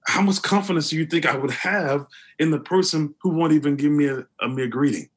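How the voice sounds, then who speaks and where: Husky deep-voiced man, earnest. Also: brisk. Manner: earnest, with many restarts and fillers. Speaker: guest. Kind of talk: conversational interview.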